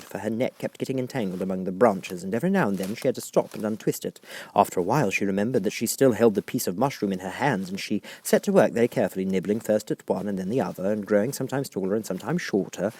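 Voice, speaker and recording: A man reading as an audiobook narrator, in an expressive scripted storybook style. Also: on the low side, breathy edge.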